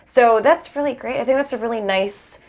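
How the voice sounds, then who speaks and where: A woman's voice: a little high, fairly quick, darkish tone. A young woman hosting an interview, responding with enthusiastic, affirming comments. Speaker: interview host. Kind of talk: casual interview.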